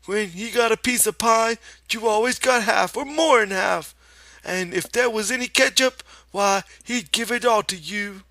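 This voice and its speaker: A man's voice: high voice, measured pace, pushed and bright, no echo, voice pushed up, full character voice. A man voicing a disapproving, nagging old aunt with folksy rural speech in an audiobook. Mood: reproachful. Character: imagined fat old woman, scolding, disapproving aunt.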